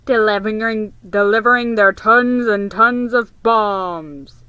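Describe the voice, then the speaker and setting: A mischievous storybook boy, acted by a woman, putting on a booming wartime radio announcer act. The delivery is loud, bright and emphatic, with heavy dramatic punch on the words. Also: takes it slowly, smooth, no echo. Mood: bombastic, playful.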